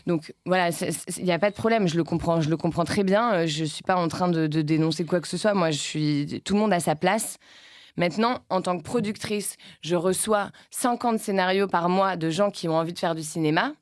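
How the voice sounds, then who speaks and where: A woman's voice: fairly low pitch, a little fast, no echo. Woman, late 30s, actress-producer type, loose rambling interview talk, dry sound. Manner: rambling, many restarts and fillers.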